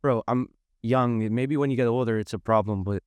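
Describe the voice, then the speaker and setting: A man's voice: medium pitch, moderate pace, darkish tone, clean tone, dry recording, lightly acted. A man putting on the role of a brash, dismissive young male drinker who brushes off warnings. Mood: defiant.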